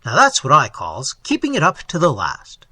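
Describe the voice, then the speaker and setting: A man's voice: a little high, huge pitch swings, normal speed, pushed and bright, breathy edge, no room sound, heavily acted. A man voicing a jovial, coarse, talkative policeman telling tales in slang for an audiobook. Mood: relishing tale. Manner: slangy, rambling, relishing his own tale. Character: jovial cockney policeman, coarse, talkative, fond of grisly anecdotes. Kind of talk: audiobook character voice.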